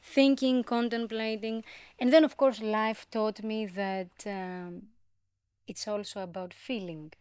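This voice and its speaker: A woman from Greece with a Greek accent, speaking reflectively as an interview guest, in a contemplative, gently halting way. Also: mid-range voice, steady tone, clear, resonant tone, dry recording. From Greece, grew up in Athens. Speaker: podcast guest.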